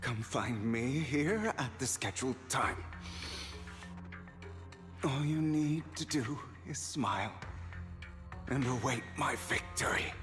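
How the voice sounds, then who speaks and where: A proud, composed young man in a game drama. His medium-pitched voice is slow, measured and theatrical, with clear music behind it. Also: lively intonation. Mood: resolute. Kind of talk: dramatic video game voice acting.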